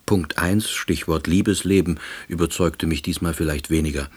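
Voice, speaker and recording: An actor playing a withdrawn, middle-aged unemployed househusband who narrates a radio play in a dry, ruminative manner. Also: male voice, very deep voice, fairly even intonation, moderate pace, breathy edge. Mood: dryly hopeful. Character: withdrawn, long-unemployed East Berlin househusband, quiet ruminator. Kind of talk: radio drama first-person narration.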